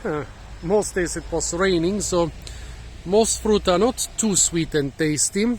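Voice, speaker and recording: Hoarse man, slightly high. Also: a little mellow, slight background noise. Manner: musing, halting, thinks aloud. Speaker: fruit channel host. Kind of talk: casual tasting vlog. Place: outdoors in a garden. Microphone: phone camera.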